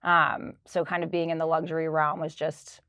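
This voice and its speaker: A woman in her thirties with a low voice tells her work history in a smooth, storytelling way. She sounds relaxed and sure of herself, recorded close in a dry room. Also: moderate pace, dry recording. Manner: fluent, storytelling, light laughs. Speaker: director of sustainability. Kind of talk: casual interview. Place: office.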